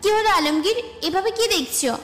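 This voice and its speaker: A woman's voice: very high voice, expressive, normal speed, a bit bright. A woman playing an affectionate, insistent young college student in an audio story. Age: young adult. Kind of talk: dramatised audio story acting. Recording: echoey reverb with music behind.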